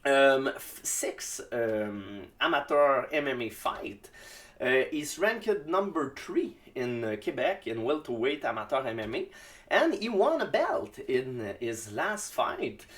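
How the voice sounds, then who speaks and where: A man with a Québécois French accent, a native French speaker, giving casual solo jiu-jitsu commentary in halting English full of ums and uhs as he searches for words. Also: medium pitch, sing-song, a bit bright.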